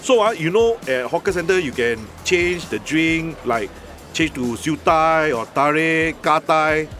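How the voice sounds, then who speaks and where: A man's voice: a little high, lively intonation, measured pace, fairly bright tone, soft background music. A man playing a chatty, easygoing Singaporean drinking buddy in a scripted podcast dialogue, talking colloquially with emphatic particles at sentence ends. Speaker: voice actor reading the Singlish part. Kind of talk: scripted casual dialogue in Singlish.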